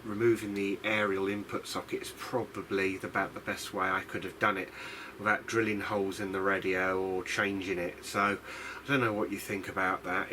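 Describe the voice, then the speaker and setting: A man from the UK giving a casual, unscripted hobbyist demonstration in a rambling, hesitant, self-deprecating way. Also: low voice. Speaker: hobbyist radio restorer presenting a video diary. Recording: clear background noise.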